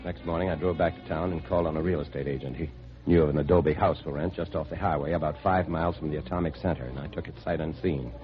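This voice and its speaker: A man's voice: rumbling low, steady tone, fast, over music. An actor playing a troubled man investigating and narrating his own story in a 1950s radio drama. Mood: measured narration. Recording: dull lo-fi vintage 1950s radio recording.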